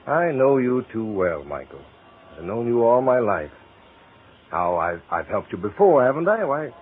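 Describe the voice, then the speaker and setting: An actor playing a kindly, earnest older reverend, fatherly and persistent, coaxing gently in 1940s radio style. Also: mid-range voice, very animated, normal speed, natural voice. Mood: concerned, earnest. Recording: tinny, hissy old radio recording.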